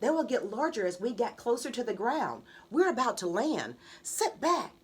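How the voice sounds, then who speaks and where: A woman's voice: fairly high pitch, sing-song, normal speed, slightly rough. A woman voicing a firm, no-nonsense mother of young children in a children's storybook. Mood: hushing, explaining. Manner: instructing, firm. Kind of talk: children's storybook read-aloud.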